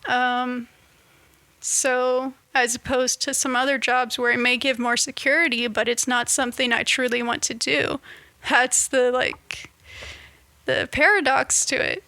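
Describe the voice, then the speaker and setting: A woman in her twenties talking to camera in a casual, reflective monologue, halting and restarting as she searches for words. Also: high voice, fairly even intonation, pushed and bright, no echo. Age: 20s. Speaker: vlogger.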